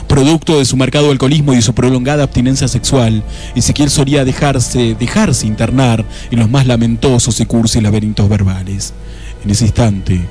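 A man's voice: mid-range voice, dark tone, natural voice. A man playing a solemn, lurid narrator in a noir parody radio play, melodramatic and lingering over his phrases. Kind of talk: scripted dramatic narration.

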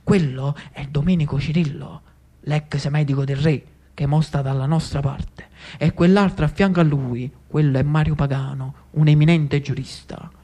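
A man's voice: fairly high pitch, fairly even intonation, moderate pace, dark tone. A man playing a knowing, bossy Neapolitan friend in a theatrical monologue. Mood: confiding.

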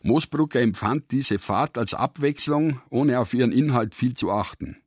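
A man narrating a literary radio drama in measured, deliberate phrases. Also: mid-range voice, dry recording, clean studio sound.

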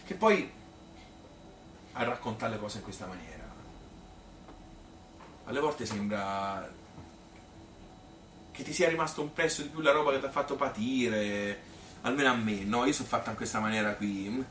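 A man's voice: medium pitch, moderate pace, pauses often, a bit bright, lightly acted. Man playing a rambling Tuscan mother, roomy and distant. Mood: reflective. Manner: rambling, colloquial, hesitant, self-questioning. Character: plain-spoken Tuscan mother reminiscing about marriage and pregnancy. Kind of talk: conversational theatrical monologue. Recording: roomy, distant stage recording with noise.

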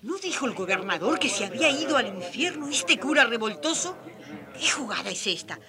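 Animated, indignant onlooker. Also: mid-range voice, fairly quick, a crowd in the background. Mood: suspicious outrage. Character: suspicious, indignant onlooker in a colonial town square. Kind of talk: radio drama acting.

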